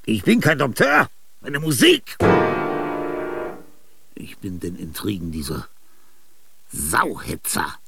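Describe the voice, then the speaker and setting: Gravelly bitter old composer for a radio play, low slow voice, swings wild between mutters and outbursts. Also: male voice, huge pitch swings, bright, forceful tone, faint music behind, exaggerated voice. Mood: agitated, bitter. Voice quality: gravelly, husky. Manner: rambling fragments, murmured asides and sudden outbursts. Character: weary, bitter aging male composer, brooding. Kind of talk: radio drama monologue. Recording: dry studio radio play.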